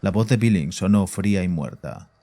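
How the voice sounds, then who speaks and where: A man's voice: deep voice, moderate pace, mellow, a little hiss or hum. A man narrating a scripted horror story as the narrator of an audio drama. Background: faint music.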